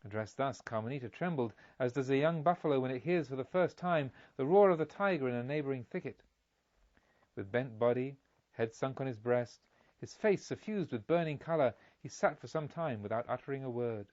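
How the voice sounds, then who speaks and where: A man's voice: mid-range voice, lively intonation, brisk, darkish tone, lo-fi sound. A man narrating a storybook expressively, as in an audiobook.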